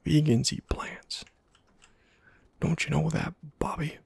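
Whispery, husky man doing ASMR gaming. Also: fairly high pitch, fairly even intonation, takes it slowly, no echo. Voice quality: hushed, breathy, husky half-whisper. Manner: casual, muttering to himself, playful. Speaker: gaming video host. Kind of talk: ASMR gameplay commentary.

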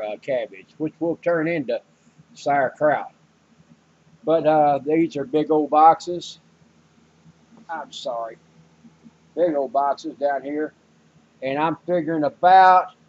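An older man, rambling folksy about groceries and canning in a casual home vlog, with many fillers. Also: fairly high pitch. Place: small home room indoors. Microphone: webcam or handheld camera. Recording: dull lo-fi home camera audio.

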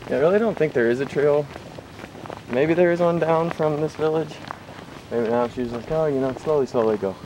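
Young man chatting over loud noise. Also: on the high side, normal speed. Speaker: vlogger's travel companion. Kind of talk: casual chat. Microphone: camera held by someone else. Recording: very noisy outdoor handheld camera audio.

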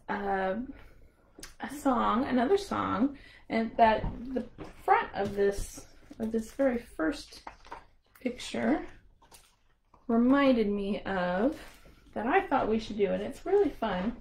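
A woman's voice: on the high side, gravelly, a little mellow. A woman hosting a storytime for young children, talking simply and encouragingly to toddlers. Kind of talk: interactive children's storytime with songs and rhymes.

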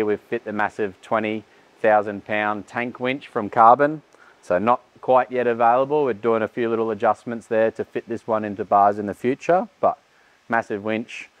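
A man's voice: lowish voice, lo-fi sound. A man, giving a casual walkaround tour of a vehicle workshop with frequent fillers. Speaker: workshop host. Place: large vehicle workshop indoors.

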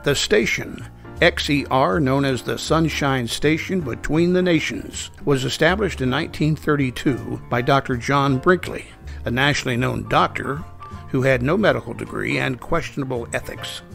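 A man's voice: medium pitch, moderate pace, vocal fry, fairly bright tone, soft background music. A man reading scripted documentary narration.

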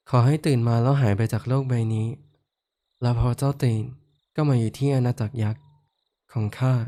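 A man voicing a kindly horned giant lord who speaks softly, comforts the listener, and teases playfully in a roleplay audio drama. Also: medium pitch, close to an everyday voice. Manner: soft-spoken, comforting, playfully teasing. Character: kindly male giant lord with horns, gentle and teasing.

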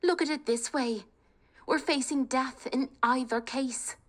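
Woman doing a young peasant girl, clever, persuasive, higher voice, storybook. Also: measured pace. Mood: rallying. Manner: lively, persuasive, coaxing.

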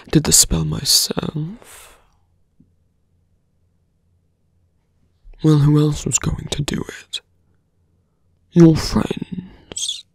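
Suave villain mage for fantasy ASMR roleplay, played by a man: very creaky, breathy, slow and deliberate, mocking with calm menace. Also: a little high, dry recording, studio quality, full character voice. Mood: mock concern. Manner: hushed, deliberate, condescending, often dropping to a whisper.

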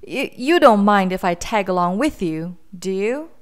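A woman's voice: medium pitch, expressive, measured pace, clean tone, natural voice. A woman voicing a friendly, easily frightened male elephant in a storybook, gulping and hesitant. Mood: anxious.

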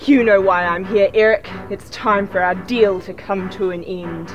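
A woman's voice: medium pitch, steady tone, moderate pace, muffled recording, natural voice. A woman playing an impatient, menacing alien supreme leader in a superhero spoof film, theatrical and contemptuous. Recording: music under the voice.

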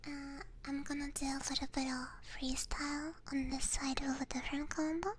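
A young woman streaming ASMR, chatting casually to viewers in a soft, breathy, gentle whisper, close to a binaural microphone. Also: high-pitched, flat delivery, brisk, slight background noise. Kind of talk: whispered ASMR livestream chat.

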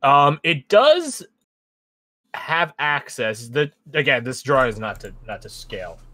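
A man with a bright, forceful voice runs a tabletop game over voice chat. He talks in a loose, rambling way, stopping to hunt for words as he sets the scene. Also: medium pitch, sing-song, a little slow. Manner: rambling, casual, searches for words. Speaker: game master.

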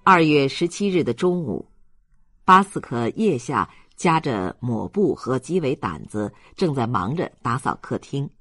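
A man's voice: medium pitch, expressive, unhurried, a bit bright, dry recording, studio quality. A man narrating a literary audiobook in a dramatic storytelling style.

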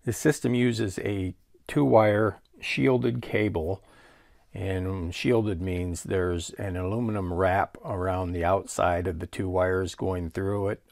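Low, gravelly man demonstrating a product. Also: dry recording, studio quality. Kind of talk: casual product demonstration, explanatory.

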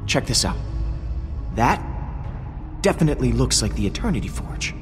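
An actor playing a cocky, slangy young American space adventurer in a video game, with a wry manner. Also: male voice, medium pitch, sing-song, moderate pace, in a large hall, over music, lightly acted. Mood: focused. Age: young adult.

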